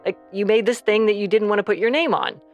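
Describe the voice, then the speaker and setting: A woman's voice: mid-range voice, fast talker, faint music behind. A woman interviewed as a guest, speaking conversationally in a sheepish, confiding way. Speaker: interview guest, audio drama creator.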